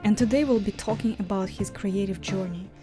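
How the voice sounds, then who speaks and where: A woman's voice: mid-range voice, fairly quick, music in the background. A woman from Russia hosting a casual interview as a fan, chatting with her guest. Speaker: interviewer and host.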